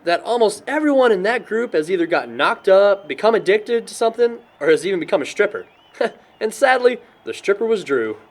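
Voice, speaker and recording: A man's voice: high voice, very animated, fairly quick. A man playing an easygoing young party host who jokes and gossips in an amateur audio drama. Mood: gossiping.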